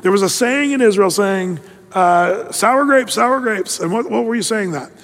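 High-voiced preacher in a reverberant hall. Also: male voice, normal speed. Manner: conversational preaching, reads scripture aloud, frequent fillers and restarts. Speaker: pastor. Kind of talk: verse-by-verse sermon.

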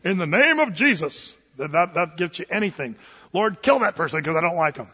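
A man's voice: high-pitched, sing-song, a little fast, pushed and bright, breathy edge. A male pastor preaching a sermon in a conversational way, with joking asides and acted-out quoted voices. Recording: dull sound with long hall reverb.

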